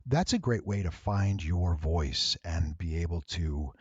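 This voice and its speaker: A man giving a casual, unscripted software tutorial in a deep, husky voice, with wry, playful asides. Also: animated, a little slow, no room sound, lo-fi sound.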